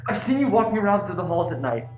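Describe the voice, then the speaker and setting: A woman's voice: medium pitch, very animated, fast, a little mellow, soft background music, natural voice. A teenage girl playing a defiant, scornful spaceship crew member in a radio play. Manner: confrontational, scornful. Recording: echoey room.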